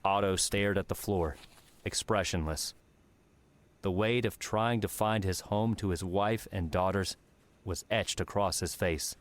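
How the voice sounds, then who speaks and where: A man's voice: sits low, a little slow. A man reading scripted story narration as an audiobook narrator.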